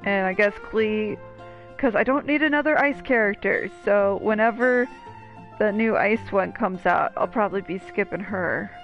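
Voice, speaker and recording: A woman's voice: mid-range voice, normal speed. A woman streamer giving casual commentary on a game and reading dialogue aloud. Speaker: gaming streamer. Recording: dull, muffled mic over game music. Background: game music.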